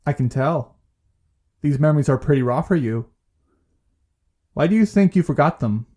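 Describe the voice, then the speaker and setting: An actor playing a calm, patient therapist in an audio drama, measured and gently probing with questions. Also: male voice, fairly high pitch. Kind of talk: naturalistic audio drama dialogue.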